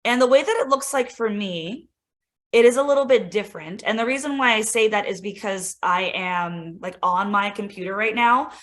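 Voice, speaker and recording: A woman coach demos software for teachers in a loose, chatty way, her mid-range voice bouncing with enthusiasm. She fills her talk with "like" and "right?" as she clicks through, friendly and informal. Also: mid-range voice, moderate pace. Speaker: instructional coach presenting a tech demo. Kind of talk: casual screen-share tutorial.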